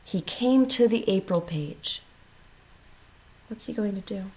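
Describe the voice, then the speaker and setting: A woman teacher reading a storybook aloud to children, expressive and instructional, asking questions as she goes. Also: medium pitch, takes it slowly, poor audio. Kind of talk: storybook read-aloud with questions to young listeners.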